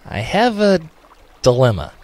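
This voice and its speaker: A man's voice: mid-range voice, huge pitch swings, unhurried, a little mellow. A man playing a worried, protective male echidna guardian in an amateur radio drama. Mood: troubled.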